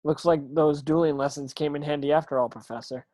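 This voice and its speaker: A woman's voice: low-pitched, fairly even intonation, brisk, warm tone, natural voice. A woman playing a determined, earnest schoolboy wizard hero in an amateur script read.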